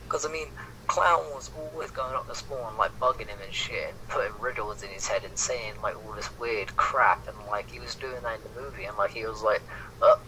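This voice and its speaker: Bright-voiced, rambling man, noisy recording. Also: on the low side, fairly quick. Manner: rambling, full of "like", often swearing. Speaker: co-commentator in a fan discussion. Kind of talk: casual fan chat about comic book films. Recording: noticeable background noise, amateur recording.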